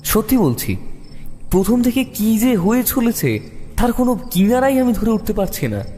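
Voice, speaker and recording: A man tells a suspense story as its first-person narrator, reading dramatically. His pitch runs a little high and moves widely. Music plays behind him, and a long reverb surrounds the voice. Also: very animated, normal speed, warm tone.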